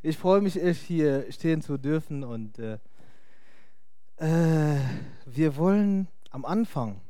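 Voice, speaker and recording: A man's voice: on the high side, animated, slow, warm tone, no room sound, studio quality. A young man preaching informally in German, a non-native speaker who talks hesitantly with many fillers. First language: not German. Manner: chatty and hesitant, many fillers, laughs often. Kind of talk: informal sermon.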